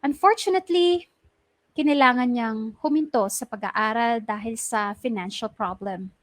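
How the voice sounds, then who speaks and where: A high-pitched actress playing a young Filipino woman tells her own story in a radio drama. The recording is dry and close, and her scripted first-person narration is earnest and reflective. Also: normal speed, dry recording, lightly acted. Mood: earnest, admiring.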